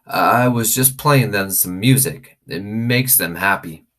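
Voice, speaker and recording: A man voicing a shy, gentle ex-convict who works in a storybook pet shop, speaking in a halting, bashful way. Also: lowish voice. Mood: bashful, subdued.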